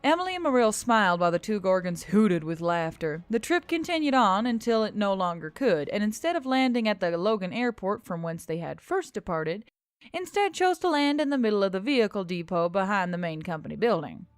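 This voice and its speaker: A woman narrates fiction in an expressive, scripted audiobook style. Her medium-pitched voice moves widely in pitch and is recorded close and dry, with music behind it. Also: a little fast, clear, resonant tone, dry recording.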